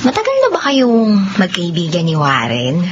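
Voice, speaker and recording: An actress playing a friendly, candid young woman in a Filipino radio drama, with an expressive conversational delivery. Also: lowish voice, normal speed, over music. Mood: curious, teasing. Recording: dull lo-fi audio with background noise.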